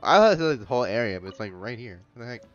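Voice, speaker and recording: A man's voice: mid-range voice, big pitch swings, rapid-fire, muffled recording, faint music behind. A man giving casual commentary as he plays through a video game story. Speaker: gaming streamer playing through a story quest.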